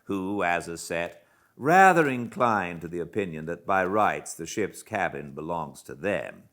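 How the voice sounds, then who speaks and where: A British man reading a classic novel aloud as an audiobook narrator, in a measured, theatrical manner with dramatic pauses. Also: low-pitched, lively intonation, fairly bright tone. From Britain. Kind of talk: expressive literary audiobook narration.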